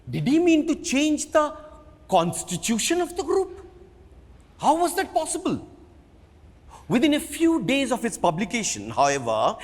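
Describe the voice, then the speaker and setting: A man with an Indian accent giving a theatrical, measured dramatic reading of a biography in a hall. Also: extremely high pitch. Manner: theatrical, measured storytelling delivery. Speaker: theatre performer reading at a book event. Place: live reading in an event hall. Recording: reverberant hall.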